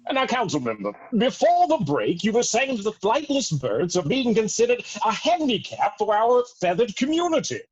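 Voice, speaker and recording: A man voices a cartoonish owl talk-show host in a comic radio play. The voice is high and husky with big swoops of pitch, showy like a broadcaster and fond of corny asides. The audio is dull and lo-fi. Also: wildly swooping pitch, normal speed, hardly any pauses, exaggerated voice. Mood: showy, polished. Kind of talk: comedic audio drama table read, mock radio interview. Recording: dull lo-fi online call audio.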